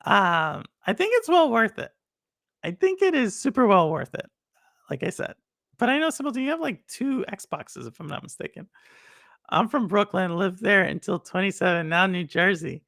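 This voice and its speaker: Gravelly bright-voiced Texan woman. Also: mid-range voice, big pitch swings, moderate pace, no room sound, clean studio sound. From Texas. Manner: chatty, laughing, reads chat aloud. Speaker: streamer host. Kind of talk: casual live-stream chat.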